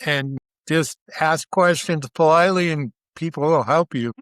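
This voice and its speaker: A man's voice: fairly high pitch, unhurried, fairly bright tone, no echo, clean studio sound. A man chatting casually as an interview guest, with a folksy, colloquial, enthusiastic manner. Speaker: interview guest, barbecue cook.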